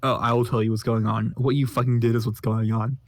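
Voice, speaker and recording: A man's voice: medium pitch, level tone, brisk, noisy background, natural voice. Man doing a sneering foul-mouthed young troll. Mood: scornful. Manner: insulting, ranting, pompous wordplay. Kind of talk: comic read-along voice acting.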